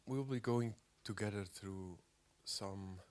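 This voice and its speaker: Man with a cold, very low voice. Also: a little mellow. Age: 40s. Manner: halting, many fillers and throat clearing. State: has a cold. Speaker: artist giving a talk. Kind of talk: lecture.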